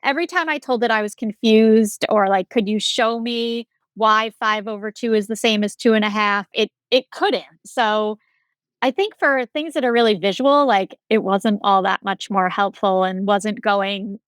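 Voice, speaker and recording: A woman's voice: mid-range voice, moderate pace, no room sound. Candid woman chatting, dry close sound. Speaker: podcast co-host. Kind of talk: casual chat.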